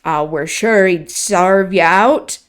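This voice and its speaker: A woman voicing a gloating old male servant with a croaking voice and a slow, broad dialect drawl. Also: on the low side. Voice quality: croaking, cackling.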